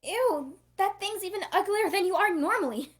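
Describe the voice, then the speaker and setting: Bratty cartoon girl of ten, played by a woman, high voice, teasing and sassy, amateur table-read delivery. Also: expressive, moderate pace. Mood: disgusted, mocking.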